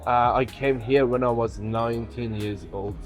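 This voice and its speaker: A man's voice: medium pitch, steady tone, moderate pace, soft background music. A young man from Iran, a native Farsi speaker, chatting casually in an interview about his life. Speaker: bodybuilder.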